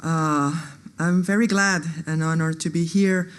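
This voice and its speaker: Halting woman with a Venezuelan Spanish accent. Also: fairly low pitch, steady tone, smooth. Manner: halting, many fillers and restarts. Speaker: anthropologist presenting at a conference. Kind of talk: conference talk.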